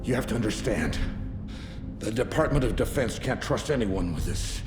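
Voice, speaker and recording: A man playing a wounded, grave middle-aged military commander in a video game, gravelly and deliberate, with emphatic dramatic pauses. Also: medium pitch, lively intonation, natural voice. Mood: urgent, forceful. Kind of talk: cinematic video game acting. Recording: echoey reverberant hall, music underneath.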